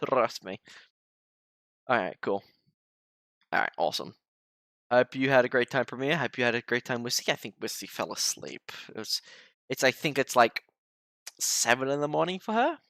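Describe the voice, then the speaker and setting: Young male streamer signing off, rambly, bright forceful voice with lots of pitch swing. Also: animated, normal speed, creaky, long pauses, dry recording, clean studio sound. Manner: stumbles over words, rambling. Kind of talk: casual end-of-stream chat.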